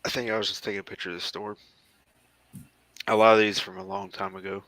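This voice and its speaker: An American man in his thirties chatting casually as he talks through old photos, halting and rambling as he goes. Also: on the low side, little pitch movement, fairly bright tone, no echo, low-quality recording. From southeastern United States. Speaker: guest, former Marine air traffic controller.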